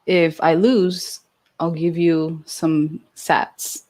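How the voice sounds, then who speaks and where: A woman's voice: deep voice, level tone, drawn-out words, a little mellow. A woman in her twenties hosting a casual livestream, chatty and giggly as she reads out and answers viewer questions. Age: 20s. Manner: giggly, chatty, with many fillers and restarts. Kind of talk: casual live chat.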